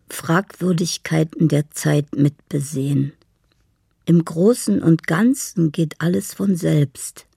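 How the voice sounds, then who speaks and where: Dreamy lovelorn woman, low gravelly voice, slow poetic delivery, radio drama. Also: warm tone, dry recording, clean studio sound, heavily acted. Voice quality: husky, gravelly. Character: dreamy, lovelorn woman living in her own fantasy.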